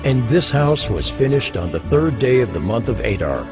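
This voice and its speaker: Mid-pitched male scripture narrator over music. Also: very animated, moderate pace. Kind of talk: scripted dramatized Bible narration. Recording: music bed under the voice.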